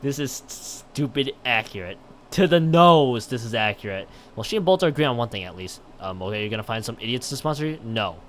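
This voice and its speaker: A man's voice: medium pitch, lively intonation, brisk, a bit bright. A man livestreaming, chatting and reading a visual novel aloud, often stumbling over and rereading his lines. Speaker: live streamer.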